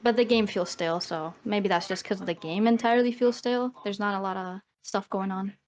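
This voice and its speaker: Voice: medium pitch, fairly even intonation, brisk, darkish tone. A podcast guest in their late twenties chatting casually about gaming. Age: late 20s. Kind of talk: casual chat.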